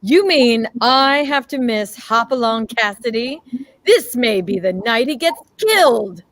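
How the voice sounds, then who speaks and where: A woman's voice: on the high side, lively intonation, unhurried, fairly bright tone, no room sound. A woman playing a put-upon, grumbling husband in old time radio comedy style, plaintive and resigned.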